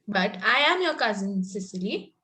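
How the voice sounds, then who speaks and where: A woman's voice: medium pitch, very animated, normal speed, sharp tone. A woman reading an earnest, precocious young Victorian lady in a comedy table read, formal with some stumbles over the script. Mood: prim, earnest.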